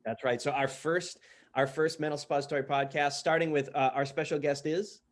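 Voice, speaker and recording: A man's voice: a little high, fairly even intonation, a little fast. A man hosting a casual promotional chat, informal and often repeating himself for emphasis. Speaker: interviewer and host. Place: virtual studio online call.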